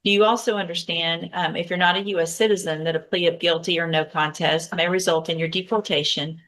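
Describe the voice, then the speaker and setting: A woman judge with a notably low voice runs a courtroom hearing. She is formal, measured and matter-of-fact as she moves through scheduling and plea questions. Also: fairly even intonation, a bit bright. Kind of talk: courtroom proceedings.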